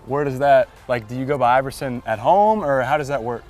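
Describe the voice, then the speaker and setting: A young man with a mid-range voice and a rapid delivery interviews athletes as a sports reporter. He keeps it upbeat and chatty while walking. Faint music sits under his voice. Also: mid-range voice, darkish tone. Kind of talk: upbeat walk-and-talk interview.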